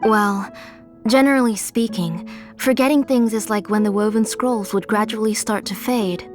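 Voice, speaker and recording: An actress playing a gentle mother caring for her ill daughter in a video game cutscene, with a soft, calm, motherly voice. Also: mid-range voice, normal speed, soft background music, lightly acted. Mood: sorrowful.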